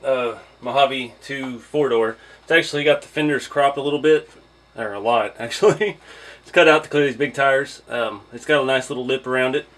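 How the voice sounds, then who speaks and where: A man's voice: medium pitch, moderate pace, fairly bright tone. A man giving an informal hands-on first look as a hobby reviewer, thinking aloud as he goes. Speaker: hobby vlogger reviewing an RC truck.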